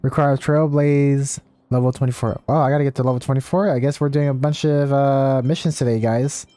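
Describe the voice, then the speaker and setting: Man, game streamer, relaxed mellow tone, casual rambling chat, middling pitch, faint music behind. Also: fairly quick. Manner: rambling, thinking aloud. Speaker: live streamer. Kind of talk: casual gameplay livestream chat, reading game text aloud.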